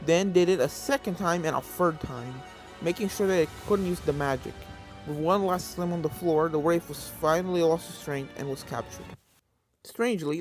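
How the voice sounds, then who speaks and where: A man reading fan fiction aloud as dramatic, scripted story narration. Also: a little high.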